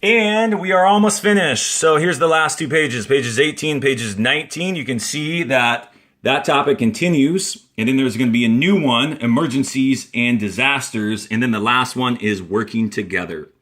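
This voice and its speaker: A man with a medium-pitched voice reads aloud as a grade-school teacher. His delivery is deliberate and instructional, clearly signposting where he is in the text. He slips in short explanations for young learners. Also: a bit bright. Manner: deliberate, instructional, signposts each page and paragraph. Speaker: fourth-grade teacher reading a textbook aloud. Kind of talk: read-aloud lesson with brief explanatory asides.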